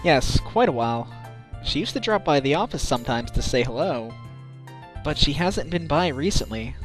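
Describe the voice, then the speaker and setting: A man's voice: fairly high pitch, expressive, normal speed, faint music behind, close to an everyday voice. A man in a game fan dub playing a good-natured defense lawyer with a wry, casual manner. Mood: wry, musing.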